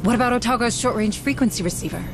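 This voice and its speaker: A woman's voice: on the high side, very fast, over music, lightly acted. A woman playing a principled, determined company administrator in a video game, speaking earnestly and insistently. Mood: urgent.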